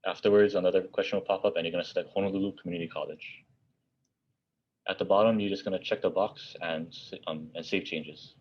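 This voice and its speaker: Male tutorial narrator, deep voice, plain instructional read, slightly muffled recording. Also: fairly even intonation, quick pace. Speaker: college outreach presenter. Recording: dull, muffled lo-fi audio.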